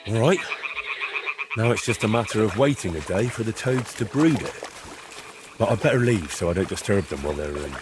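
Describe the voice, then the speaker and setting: A man's voice: on the low side, animated, a little fast, long pauses, background noise, lightly acted. An actor playing a cheeky, curious young man in a medieval game, talking in a casual, persuasive way. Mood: wondering. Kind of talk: dramatic game dialogue acting.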